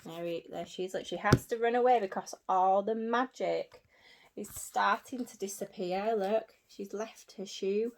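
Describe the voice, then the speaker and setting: A woman reading a story aloud for young children as a teacher, in an animated way with simple repeated phrases and asides to her listeners. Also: medium pitch, measured pace. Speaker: early years teacher.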